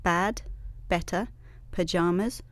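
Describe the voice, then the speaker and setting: A woman's voice: lowish voice, slow, no echo. Female radio host, talkative and curious, close dry studio mic. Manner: chatty, curious, lists words in a steady run. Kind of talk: casual radio chat.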